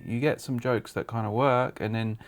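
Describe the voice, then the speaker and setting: A man in his late thirties hosting a podcast. He speaks in a reflective, easy manner, often laughing and asking searching questions, at an ordinary middle pitch. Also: fairly even intonation, normal speed. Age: late 30s. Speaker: podcast host. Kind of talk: casual podcast chat.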